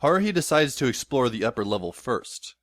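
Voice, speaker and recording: A man's voice: mid-range voice, sing-song, brisk, fairly bright tone, no echo. A man playing a wry, sardonic teenage schoolboy who narrates his adventure as dry, descriptive audio drama storytelling. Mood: wry narrating. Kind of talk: scripted first-person narration in audio drama.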